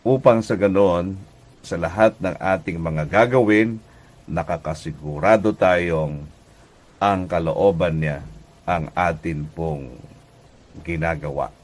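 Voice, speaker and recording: A Filipino man preaching a devotional radio message as pastor and host, deliberate and emphatic, often checking in with listeners. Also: low voice, a little mellow. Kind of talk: devotional radio sermon in conversational teaching tone.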